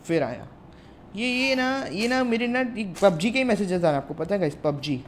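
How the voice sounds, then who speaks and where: A man's voice: high-pitched, expressive, brisk, slight background noise. A young man with an Indian accent giving casual, chatty commentary while playing a mobile shooter, talking to his viewers. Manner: chatty, addresses viewers as guys and brother. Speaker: mobile game streamer.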